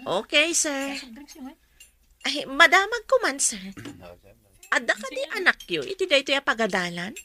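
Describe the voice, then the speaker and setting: A woman's voice: sits high, sing-song, unhurried, pauses often, pushed and bright, full character voice. A woman acting a dutiful young mother who works at a school canteen, in an Ilocano radio drama. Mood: polite.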